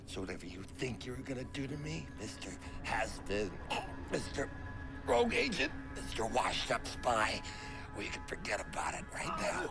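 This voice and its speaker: A man playing a brash, cocky and easily rattled villain in a video game, with a creaky, swooping voice. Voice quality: creaky, high-pitched. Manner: brash, volatile, swooping delivery. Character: cocky, panicky male villain, loudmouthed and taunting. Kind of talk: dramatic video game acting. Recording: loud music over the dialogue. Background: loud soundtrack music.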